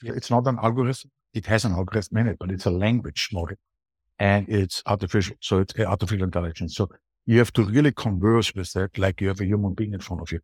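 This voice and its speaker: A man's voice: lowish voice, fairly even intonation, fast talker, a little mellow, slightly rough, no room sound. A middle-aged or older man with an Austrian accent and German as his native language, giving emphatic, conversational answers as an interview guest. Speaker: podcast guest, booking strategist.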